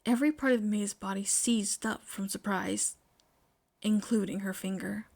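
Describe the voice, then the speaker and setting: A woman's voice: mid-range voice, steady tone, moderate pace, creaky, a little mellow. A woman reading scripted fiction aloud as the narrator of an amateur audio story. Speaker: podfic narrator.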